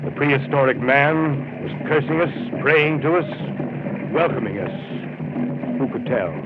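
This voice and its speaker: Actor as brooding riverboat captain, tinny old radio. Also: male voice, medium pitch, animated, natural voice. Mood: brooding, grave. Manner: grave, measured, descriptive storytelling. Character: brooding steamboat captain narrating a jungle river journey. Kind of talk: 1930s radio drama acting, literary narration. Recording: tinny 1930s radio broadcast with music behind.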